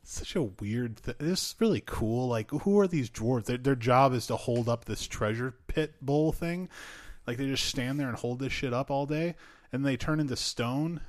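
A man co-hosting a casual film chat, rambling excitedly with many fillers and laughs. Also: mid-range voice, no echo, clean studio sound. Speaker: podcast co-host.

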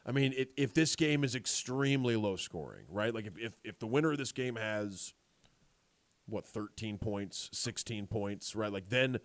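A man's voice: lowish voice, moderate pace, dry recording. A man hosting a sports talk radio show, chatting in a loose conversational way and often restarting his sentences.

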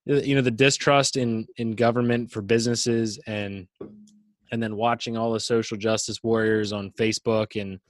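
A man's voice: fairly low pitch, level tone, normal speed, no room sound. A man from Pennsylvania in casual conversation, blunt and opinionated. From Pennsylvania, USA.

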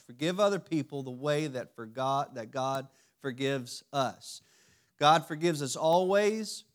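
Raspy man preaching a halting sermon. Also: fairly high pitch. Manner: halting, many restarts and fillers. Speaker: lay preacher. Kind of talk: church sermon teaching. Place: church sanctuary.

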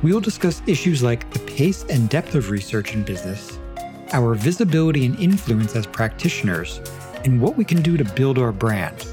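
A man hosting a podcast, reading a measured, scripted intro and then welcoming his guest. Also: mid-range voice, sing-song, over music. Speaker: podcast host.

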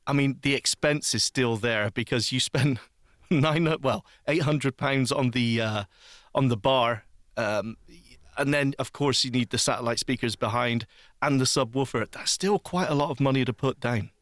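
A man co-hosts a podcast, asking questions in a relaxed, curious way and laughing easily. His voice is mid-pitched. The recording is dry and close. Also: normal speed, no room sound. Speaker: podcast co-host. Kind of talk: casual chat.

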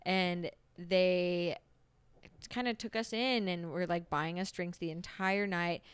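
A woman in her twenties co-hosting a podcast, telling stories in a casual, profane and animated way. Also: on the low side, slow pace, smooth, no echo. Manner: profane, animated storyteller who acts out quotes. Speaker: podcast co-host. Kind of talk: casual chat, storytelling.

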